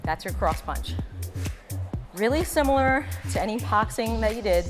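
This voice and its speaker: Energetic woman fitness instructor in reverberant studio. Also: fairly high pitch, moderate pace. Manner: energetic, encouraging cues and counts. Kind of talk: instructional workout coaching. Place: bright wood-floored fitness studio. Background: background music.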